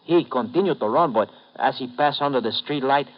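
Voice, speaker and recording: An actor playing a humble Cuban dock worker who talks hesitantly in broken English in a 1950s radio drama. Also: male voice, mid-range voice, fairly quick, close to an everyday voice. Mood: eager. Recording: dull old radio broadcast.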